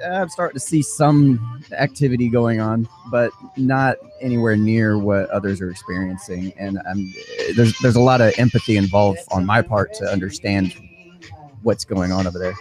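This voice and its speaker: A man's voice: lowish voice, normal speed, over music. A man from the southern United States hosting a livestream, talking casually with lots of fillers and repeated words. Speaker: livestream host. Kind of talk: casual live commentary.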